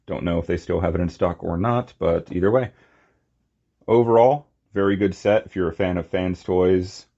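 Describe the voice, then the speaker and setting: Low-voiced man giving a casual review. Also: vocal fry, mellow, dull audio. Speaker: toy collector reviewing figures. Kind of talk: casual unscripted hands-on review.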